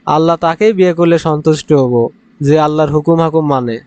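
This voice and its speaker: Man acting an earnest young cartoon suitor, highish voice. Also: quick pace, darkish tone, dry recording, close to an everyday voice. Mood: earnest, apologetic. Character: earnest, pious young man rejecting his ex-girlfriend. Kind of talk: comic cartoon dialogue. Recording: dull lo-fi audio, music underneath.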